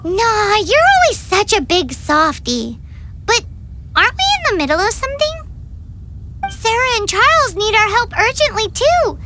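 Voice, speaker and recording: Squeaky, very high excitable fairy sidekick. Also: female voice, a little slow, very noisy, full character voice. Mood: grumbly, bossy. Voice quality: squeaky, childlike. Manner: blunt, speaks of herself in third person. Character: tiny childlike fairy companion, excitable, indignant, cheeky. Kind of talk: animated video game dialogue. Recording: loud background music.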